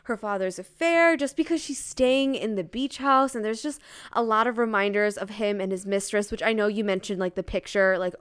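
A woman's voice: mid-range voice, moderate pace, a bit bright, clear, resonant tone. A woman co-hosting a podcast in casual chat, gushing and emphatic, with lots of informal filler words. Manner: frequent "like" filler, informal youthful phrasing. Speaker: podcast co-host. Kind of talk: casual chat about a romance novel.

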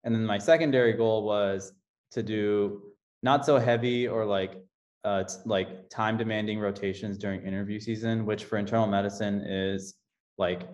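Low-voiced hesitant young man. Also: darkish tone. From Texas. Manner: hesitant, many fillers. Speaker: medical graduate panelist. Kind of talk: casual panel talk. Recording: dull lo-fi audio.

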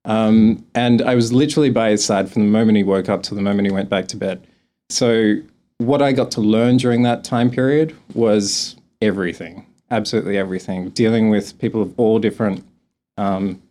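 A man's voice: fairly low pitch, darkish tone. A young man from Australia with a mild Australian accent, answering interview questions in a chatty way, with frequent laughs and restarts. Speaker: guest.

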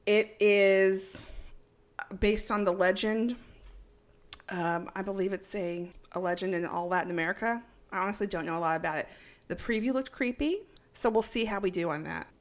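A woman's voice: mid-range voice, normal speed. A woman from East Texas co-hosting a casual podcast, blunt and jokey, laughing often. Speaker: podcast co-host.

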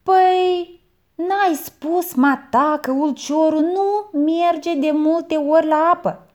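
A woman's voice: extremely high pitch, highs cut off, voice pushed up. A woman voicing a sly, cheeky twelve-year-old orphan boy who plays dumb and innocent.

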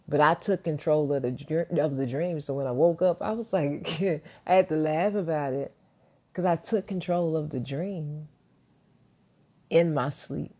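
Soft-spoken gravelly man, deep and mellow. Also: measured pace. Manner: speaking quietly so as not to wake neighbors; self-assured, reflective, rambling with restarts. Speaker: podcast host. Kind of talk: casual motivational monologue. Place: at home, early morning.

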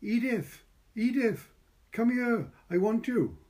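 A man's voice: very high voice, expressive, slow, a little mellow, pitched above the performer's own voice, full character voice. A man voicing a bossy, anxious young servant girl in a short story, calling and scolding.

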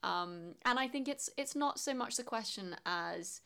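A woman with an English accent, co-hosting a podcast and talking in a reflective, measured way. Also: on the high side, expressive, creaky, fairly bright tone, smooth. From England. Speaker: podcast co-host. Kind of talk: casual podcast chat.

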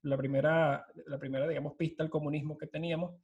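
A man's voice: fairly high pitch, flat delivery, fast, darkish tone, muffled recording. Venezuelan man, 30s, startup founder guest; chatty storyteller, mixes in English, video call. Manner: talkative, mixes English words into Spanish. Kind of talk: casual storytelling interview.

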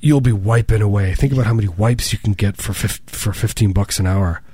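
A man co-hosting a podcast, chatting casually with deadpan jokes, stammering and a slightly nasal voice. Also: fairly low pitch, fairly quick, slightly rough, dry recording. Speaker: podcast co-host. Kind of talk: casual comedic chat.

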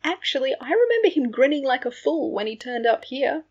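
A woman plays a smug young noble wizard in a storybook, teasing and gallant. The voice is husky and the recording slightly dull. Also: high-pitched, sing-song, a little fast, darkish tone, pitched above the performer's own voice, full character voice. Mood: smooth teasing. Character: cocky, playful young aristocratic wizard brother.

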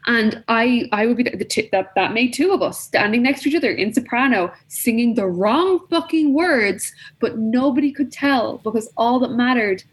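A woman's voice: a little high, lively intonation, normal speed, few pauses, husky. Chatty swearing young woman. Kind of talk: casual chat.

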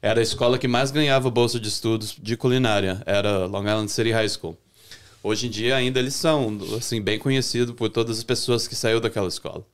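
A young Brazilian man with a Brazilian Portuguese accent, a chef chatting as a podcast guest in a relaxed way, often restarting his sentences. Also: medium pitch, fairly quick, clear, resonant tone. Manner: relaxed, talks with many restarts and self-corrections. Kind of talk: casual interview chat.

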